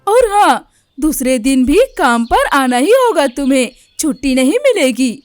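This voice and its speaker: A woman's voice: high voice, big pitch swings, unhurried, no echo. A woman voicing a stern, demanding male factory boss bird in a Hindi cartoon story, firm and commanding. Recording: music behind the voice.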